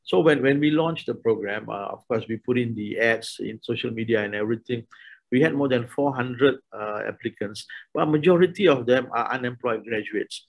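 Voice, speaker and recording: A man's voice: mid-range voice, normal speed, vocal fry, low-quality recording. A middle-aged man moderating an online panel discussion over a video call, talking with many restarts and hesitations. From Malaysia. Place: small room indoors.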